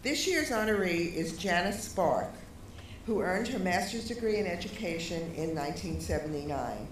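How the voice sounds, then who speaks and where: A woman's voice: fairly low pitch, expressive, normal speed. A woman formally presenting an award at a ceremony as a university president. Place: large ceremony hall. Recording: very echoey hall, distant mic, faint noise.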